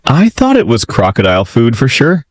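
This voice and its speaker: A man's voice: mid-range voice, huge pitch swings, moderate pace, dry recording. A man voicing an earnest, desperate young girl who pleads and exclaims in a fairytale storybook. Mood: earnest, upset.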